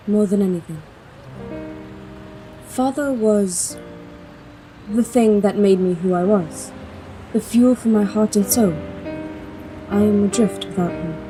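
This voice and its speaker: A woman's voice: medium pitch, takes it slowly, pauses often, dark tone, faint music behind, close to an everyday voice, rough recording. A woman acting in an audio drama as a formal, self-doubting young princess with an earnest manner. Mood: grieving, adrift.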